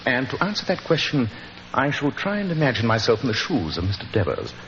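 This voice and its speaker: Radio play sleuth: British, commanding, precise, mid-pitched, in a hissy, reverberant vintage recording. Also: male voice, huge pitch swings, brisk, fairly bright tone, lo-fi sound. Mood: intent, reasoning. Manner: precise, confident, deductive. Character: incisive, commanding male gentleman detective. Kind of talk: 1940s radio acting.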